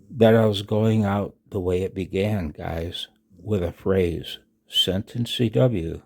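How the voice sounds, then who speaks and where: Slow, very low-voiced older American man. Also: dark tone. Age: 60s. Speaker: amateur radio vlogger. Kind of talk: reading own written announcement aloud with asides.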